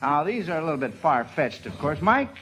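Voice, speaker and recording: A man's voice: fairly high pitch, sing-song, fairly quick, a bit bright, soft background music. A man hosting an old-time radio quiz for children, speaking with hesitations in a vintage broadcast recording. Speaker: quiz show host.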